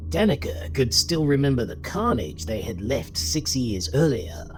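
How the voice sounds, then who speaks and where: A man narrates a dramatic story with a lively, wide-moving intonation. His voice sits at a middle pitch, with clear music playing behind it. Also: normal speed.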